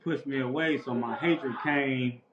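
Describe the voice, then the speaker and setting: A man from Los Angeles telling his life story in a relaxed, casual way, full of street slang and repeated "bro". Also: mid-range voice, unhurried, reverberant, muffled recording, a crowd in the background. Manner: laid-back, repetitive street slang with frequent "bro". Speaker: interview guest. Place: outdoors near a house.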